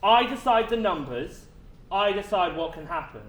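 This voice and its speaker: Echoey, forceful male boss in a stage play. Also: sits high, animated, moderate pace. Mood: commanding. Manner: authoritative, declamatory. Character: commanding head of an afterlife office, principled and decisive. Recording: echoey stage, faint background noise.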